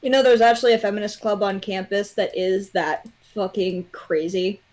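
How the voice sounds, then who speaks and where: A woman's voice: medium pitch, steady tone, normal speed, a little mellow. A young adult woman chatting casually as a livestream guest, blunt and freely swearing. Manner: blunt, profane. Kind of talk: casual chat.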